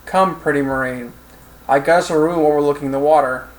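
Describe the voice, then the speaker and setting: A man's voice: fairly high pitch, brisk, close to an everyday voice, poor audio. A man voicing a teasing, commanding female general and political officer with a Russian accent in an audiobook story. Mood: flirtatious. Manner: teasing, sensual.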